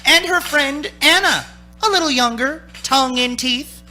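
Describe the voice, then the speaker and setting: A high, very forceful male voice of an old-time radio thriller announcer. He is theatrical and deliberate, taking his time over each phrase. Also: soft background music, exaggerated voice. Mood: hushed narration.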